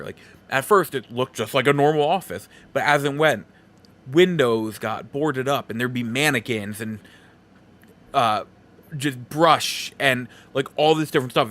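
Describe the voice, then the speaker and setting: A man's voice: mid-range voice, a bit bright. Casual male podcast host, conversational and rambling. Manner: informal, conversational, rambling asides. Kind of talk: casual solo movie review talk.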